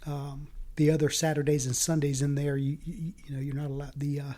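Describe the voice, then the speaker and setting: A man raised in the American South whose first language was Greek, talking earnestly in a casual interview, with many halting fillers. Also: a little high, little pitch movement, dark tone. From Fayetteville, North Carolina. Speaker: city council candidate.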